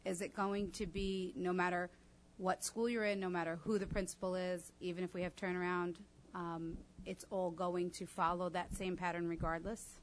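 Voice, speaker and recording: A woman's voice: fairly low pitch, little pitch movement, moderate pace, slight background noise, low-quality recording. A woman on the board asking a follow-up question at a public meeting, earnest, with fillers.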